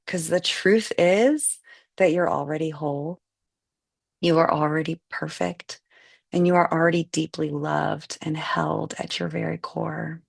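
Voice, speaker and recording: Low, gravelly-voiced woman guiding meditation. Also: dry recording, studio quality. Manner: slow, soothing, gentle instructions. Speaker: meditation guide. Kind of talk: live guided meditation.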